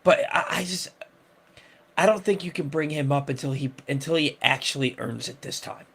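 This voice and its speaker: A man's voice: on the high side, moderate pace, vocal fry, a bit bright, slightly rough. Rambling man hosting sports talk. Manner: rambling, many restarts and fillers. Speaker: sports podcast host.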